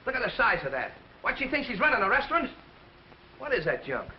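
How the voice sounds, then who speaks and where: A gruff sailor father in old Hollywood style, American and very high-pitched for a man. He is curt and argumentative, with lively swings in pitch, over a muffled, faintly noisy soundtrack. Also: quick pace. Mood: grumbling. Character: gruff, loud, stubborn sailor father, quick to anger. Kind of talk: vintage Hollywood film acting.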